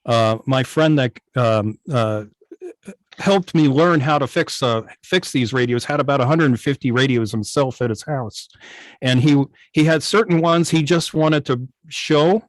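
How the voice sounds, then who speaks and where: A man with a mid-pitched voice talks casually as an interview guest. He is halting and often searches for words, and the sound is dry with little room in it. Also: no room sound. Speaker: guest, internet old-time radio station operator. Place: indoors on a video call.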